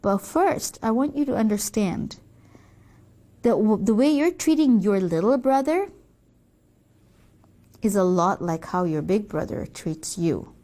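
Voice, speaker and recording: A woman voicing a gentle, firm mother who patiently reasons in measured, coaxing explanations, in a children's story. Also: medium pitch, animated, pitched below the performer's own voice, lightly acted. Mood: tender, reasoning. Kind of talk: acted children's story dialogue.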